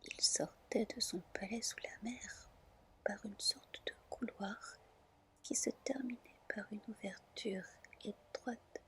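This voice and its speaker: A woman reading tales in a soft, breathy, soothing whisper, as a gentle ASMR storyteller. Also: medium pitch. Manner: slow, gentle, soothing delivery.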